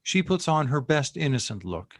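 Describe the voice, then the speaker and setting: A man's voice: on the high side, big pitch swings, moderate pace, no room sound, studio quality. A man reading stage directions as narrator at a screenplay table read. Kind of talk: scripted narration.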